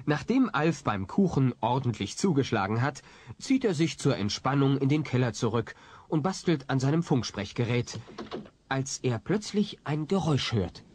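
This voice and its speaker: A man's voice: medium pitch, sing-song, normal speed, a little mellow, a little hiss or hum, close to an everyday voice. A man narrating a comic German children's audio play as a wry, playful storyteller.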